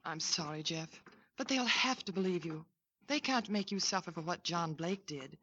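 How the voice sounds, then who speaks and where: An actress playing a gentle, supportive young woman in a 1940s radio drama, speaking in a soft, reassuring way. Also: on the low side, expressive, moderate pace. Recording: dull lo-fi vintage radio recording.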